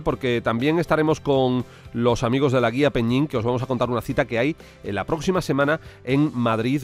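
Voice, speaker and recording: A man hosting a wine podcast in a lively, chatty way, laughing often. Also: mid-range voice. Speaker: podcast host. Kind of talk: casual radio podcast chat.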